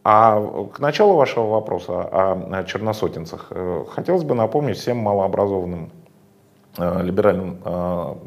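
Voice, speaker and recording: Emphatic lecturing man, low voice. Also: animated, moderate pace, creaky. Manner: emphatic, lecturing, many filler sounds. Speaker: interview guest. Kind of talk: political interview answer.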